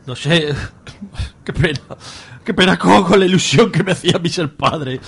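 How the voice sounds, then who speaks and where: A man's voice: high-pitched, normal speed, creaky, crowd noise. A man acting a melodramatic, slangy young would-be father in a comic radio soap. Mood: mock grief.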